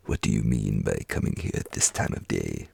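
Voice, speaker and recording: A man voicing a gruff old gentleman boss in a bedtime story, growling with formal, deliberate politeness. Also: very deep voice, no room sound, voice pushed down, full character voice. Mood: mock stern. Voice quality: growling, gravelly. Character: old gentleman boss, gruffly feigning anger, secretly merry.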